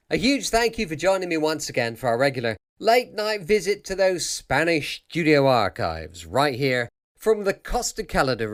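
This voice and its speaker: A British man hosting a late-night podcast with informal, reassuring, chatty patter. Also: medium pitch. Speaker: podcast host.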